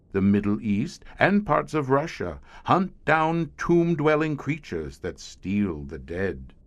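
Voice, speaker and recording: An actor playing a haunted, learned middle-aged scientist who guards monster-ridden tunnels, speaking in a grave, florid, theatrical way for an audio horror drama. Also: male voice, fairly low pitch, full character voice. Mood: ominous, erudite. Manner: grave, florid, dramatic storyteller with weighty pauses.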